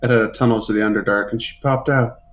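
A man's voice: on the low side, fairly even intonation, fast, slight background noise. A man playing a tabletop roleplaying game, chatting casually in character with the group.

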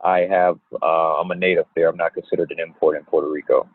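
A young man and basketball player from Indiana who answers interview questions in an unhurried way, with many pauses. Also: sits low, little pitch movement, slightly rough, no room sound. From Gary, Indiana. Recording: tinny phone-like line.

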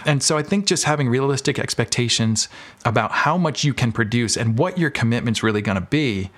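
A man's voice: mid-range voice, animated, brisk, a bit bright. A man speaking as an interview guest, chatting informally and candidly with rambling explanations. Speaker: podcast guest, filmmaker and audio drama creator. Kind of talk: casual interview chat.

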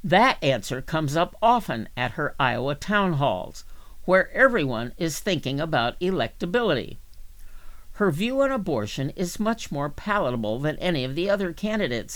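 Higher-pitched man reading magazine articles aloud. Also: big pitch swings, moderate pace, fairly bright tone. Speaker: volunteer magazine reader for a reading service.

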